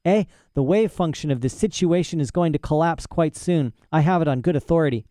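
A male narrator puts on a cranky old professor in his cell, boastful and aggrieved, forever tacking on a nagging "eh?". The voice sits a little high, with a dry, close sound. It plays as an audiobook character part. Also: brisk, mellow, no room sound, close to an everyday voice. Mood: conspiratorial. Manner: boastful, ranting, rhetorical "eh?" asides. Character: old imprisoned male professor, indignant and rambling.

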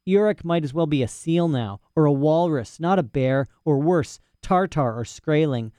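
A man's voice: fairly high pitch, animated, normal speed, mellow, no room sound, clean studio sound, pitched above the performer's own voice, natural voice. A man voicing an indignant, rambling old male professor who is locked in a cell, ranting boastfully with "eh?" asides. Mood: dismissive. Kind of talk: audiobook character voice.

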